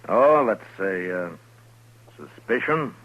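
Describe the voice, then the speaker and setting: Wry Old West lawman, very low pitch, laconic, sardonic, 1950s radio drama, muffled sound. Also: expressive, takes it slowly. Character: tough, wry frontier marshal in the Old West. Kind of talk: 1950s radio western acting. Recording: dull, hissy 1950s radio recording.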